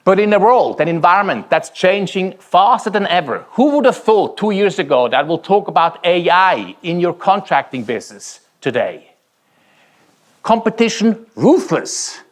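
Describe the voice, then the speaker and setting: A male keynote speaker with a Swiss-German accent and a slightly high-pitched voice. His intonation is very animated, swinging widely as he tells stories. He speaks in deliberate, emphatic phrases, like a practiced motivational presenter. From Switzerland. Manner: dramatic, emphatic storytelling with deliberate short phrases. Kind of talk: rehearsed motivational conference keynote.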